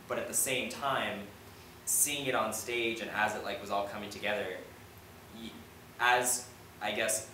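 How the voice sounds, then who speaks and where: A young man with a fairly low voice talks through his work on a panel. He is informal and reflective, often halting mid-thought. The distant recording is very echoey, with clear background noise. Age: young adult. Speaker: playwright on a discussion panel. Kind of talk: audience Q&A panel talk. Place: echoey indoor theater. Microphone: distant camera mic. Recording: echoey room, distant mic, noisy background.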